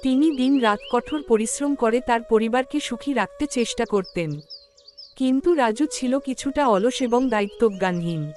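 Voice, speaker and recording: High-voiced woman narrating a moral story. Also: moderate pace, darkish tone, faint music behind.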